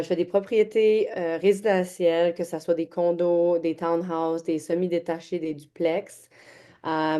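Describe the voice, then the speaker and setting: A woman's voice: fairly low pitch, dark tone. A woman from the Gaspésie in Quebec with a Québécois accent, introducing herself as a real estate agent in a friendly webinar on a video call. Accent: Québécois French. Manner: chatty, self-introducing, laughs often, mixes English words. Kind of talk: webinar presentation.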